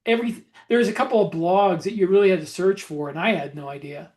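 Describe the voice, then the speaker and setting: A man with a noticeably high voice for a male speaker chats casually as a podcast host. He tells his stories reflectively, in a halting way, feeling his way through each thought. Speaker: podcast host and self-published author. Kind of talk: casual podcast conversation about a writing career.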